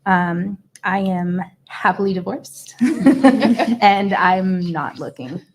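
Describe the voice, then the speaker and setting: A woman in her thirties introducing herself on a podcast panel, relaxed and a little hesitant. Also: mid-range voice, takes it slowly. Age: 30s. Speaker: panel guest.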